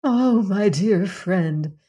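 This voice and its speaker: A woman doing a gentle, sorrowful queen. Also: mid-range voice, animated, drawn-out words. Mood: earnest. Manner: tender, pleading. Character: gentle, sorrowful young queen locked in a tower.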